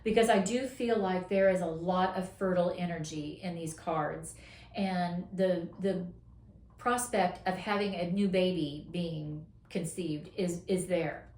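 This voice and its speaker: A woman doing a casual weekly tarot reading, encouraging and affirming, her voice going hoarse as she talks. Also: lowish voice, steady tone, darkish tone, echoey room. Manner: encouraging, affirming, drawn-out emphasis. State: losing her voice, coughing. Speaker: tarot reader.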